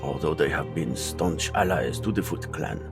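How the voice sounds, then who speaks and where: A man playing an old mutant rat ninja master and father in a motion comic fan dub, speaking formally with measured, solemn authority. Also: rumbling low, expressive, gravelly, music in the background, heavily acted. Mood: grave, measured.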